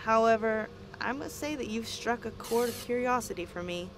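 A woman playing a principled, reserved psychologist in an audio drama, with a formal, measured way of speaking. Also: fairly high pitch, noisy background, close to an everyday voice. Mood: guarded, curious. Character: principled, skeptical female psychologist, reserved but curious. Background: music.

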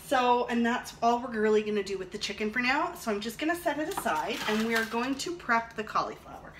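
A woman's voice: on the high side, lively intonation, brisk. A woman in her thirties chatting casually and thinking aloud as she cooks at home, recorded on a camera an arm's length away. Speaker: vlog host cooking and reviewing a product. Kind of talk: casual chat while demonstrating cooking. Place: home kitchen, indoors. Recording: clattering dishes and clicks, noisy room.

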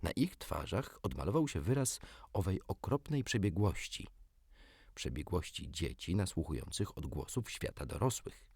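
A man with a lowish voice reads a children's story expressively. His pitch moves in lively swings, and the recording is dry and close. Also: moderate pace, creaky, slightly rough, dry recording. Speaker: audiobook narrator. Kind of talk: expressive scripted storybook narration.

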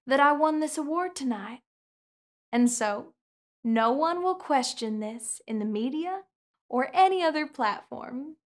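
A young woman in a studio, speaking soft, slow, soothing hypnosis roleplay with repetitive counting and commands. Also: a little high. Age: young adult. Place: indoor studio set with curtain backdrop.

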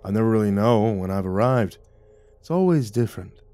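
A man voicing a patient, unconcerned shaman, a dryly teasing mystic, with calm, unhurried delivery in an audiobook. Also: fairly low pitch, soft background music, natural voice. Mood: calm, solemn. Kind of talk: audiobook character dialogue.

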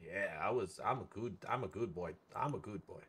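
A man's voice: sits low, a little fast, fairly bright tone, slightly rough. A man chatting casually while streaming a game, swearing freely and repeating phrases playfully. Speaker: live streamer playing a roleplay game.

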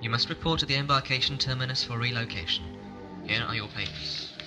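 A man's voice: mid-range voice, a little fast, lightly acted. Man playing a curt, cold official. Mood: cold, officious. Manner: formal, curt, impersonal. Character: cold, officious male bureaucrat in authority. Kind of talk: sci-fi audio drama acting. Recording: music behind the voice.

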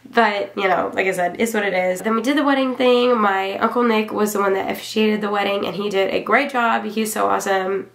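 A woman in her twenties chats to camera in a small room at home that adds a clear echo. Her voice has a lot of fry at an average pitch. She rambles along offhandedly, as if telling a story in conversation. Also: a bit bright. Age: 20s. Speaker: vlogger reviewing own wedding. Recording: roomy indoor echo.

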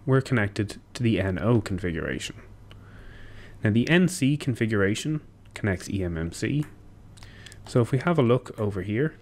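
A young man giving a technical walkthrough, steady and explanatory with occasional ums and self-corrections, recorded at a desk in a small room. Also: on the low side. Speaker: engineer presenting a tutorial. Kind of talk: technical walkthrough over screen share.

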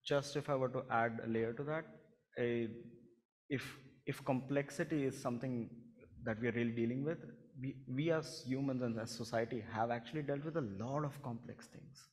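A man's voice: medium pitch, steady tone, normal speed, a little mellow. A man on a conference panel, making his point emphatically and often repeating words as he starts. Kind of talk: panel discussion. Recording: long reverb of a conference hall.

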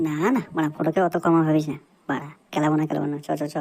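A man's voice: on the high side, level tone, rapid-fire, gravelly, darkish tone, dry recording. A man voicing a dim, stubborn young male freeloader in a crude comedy cartoon, slangy, repetitive and argumentative. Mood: carefree.

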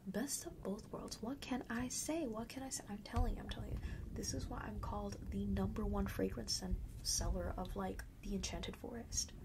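A woman speaks mostly in a breathy whisper, playing a friendly, boastful perfume seller from an enchanted forest. Her delivery is chatty and playful, in an ASMR roleplay style, with a medium pitch. There is clear background noise with small clicking sounds. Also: steady tone, moderate pace. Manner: playful, chatty, repeats phrases for emphasis.

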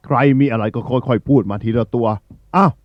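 Animated male eagle leader, acted by a man, commanding but caring, for a children's storybook; dry, slightly dull recording. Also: medium pitch, fairly quick, no room sound, close to an everyday voice. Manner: commanding, addressing a gathering. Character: male eagle ruler of the birds, authoritative yet caring.

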